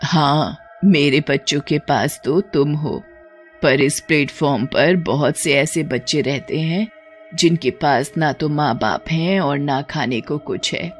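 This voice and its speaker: A woman voicing a devoted mother who has become a witch-ghost, speaking softly and pleadingly in a cartoon story. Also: low-pitched, takes it slowly, faint music behind, voice pushed down. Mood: calm.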